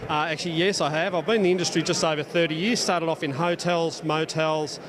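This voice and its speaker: Slightly high-voiced man, crowd behind. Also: fairly even intonation, brisk. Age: middle-aged. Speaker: club manager, interviewee. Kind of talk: businesslike interview. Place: entertainment venue during a party.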